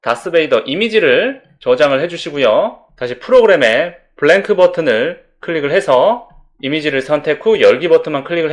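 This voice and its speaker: A man narrating a scripted software tutorial step by step in a formal, polite instructional manner. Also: fairly high pitch.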